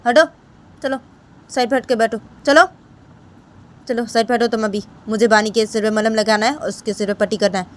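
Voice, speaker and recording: A woman's voice: fairly high pitch, fairly even intonation, a little fast, pauses often, voice pushed up, close to an everyday voice. A woman voicing a stern, bossy aunt in a family story, giving curt, repeated orders. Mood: stern, annoyed.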